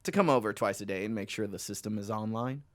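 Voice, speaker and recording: A man's voice: fairly low pitch, fast, no room sound, close to an everyday voice. Man playing a rambling boozy salesman, dry close sound. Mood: sheepish, rambling. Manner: rambling, sweary, hedging. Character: desperate hard-drinking philandering phone salesman, evasive and needy. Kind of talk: audio drama dialogue.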